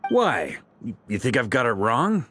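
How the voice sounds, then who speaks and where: A man's voice: medium pitch, sing-song, a little slow, sharp tone, a little hiss or hum. An actor voicing an earnest, slightly naive, good-natured man in a game. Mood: earnest, puzzled. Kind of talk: game character voice acting.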